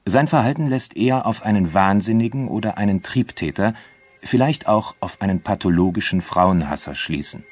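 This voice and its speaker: An actor as a matter-of-fact crime narrator, reading scripted documentary narration in a measured, formal manner. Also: male voice, fairly low pitch, expressive, close to an everyday voice. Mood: sober, measured.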